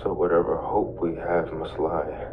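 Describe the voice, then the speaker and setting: A man playing a young, burdened but determined elf chief in a dramatic comic dub, speaking gravely and deliberately. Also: low-pitched, level tone, noisy background. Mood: resolute, hopeful. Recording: dull muffled audio under loud music. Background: loud music.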